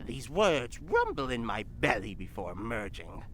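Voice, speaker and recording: A woman's voice: big pitch swings, measured pace, full character voice. A woman playing a menacing, bloodthirsty demon in the shape of an old lady, making theatrical, ominous pronouncements in audio fiction comedy. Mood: ominous relish. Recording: low rumbling background noise.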